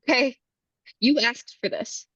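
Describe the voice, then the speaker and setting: A frightened but defiant young beauty queen, acted by a woman at a table read. Her voice sits fairly high with a rough, breathy edge. She confronts her opponent urgently in this horror drama, captured dry and close. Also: a little slow, fairly bright tone, no room sound, lo-fi sound. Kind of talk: live screenplay table read.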